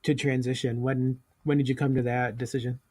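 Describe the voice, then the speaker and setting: A male podcast host asks his guest short, plain questions in a calm and unhurried way, with some fillers along the way. His voice sits at a middle pitch. The sound is close and dry. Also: dry recording. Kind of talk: casual interview questions.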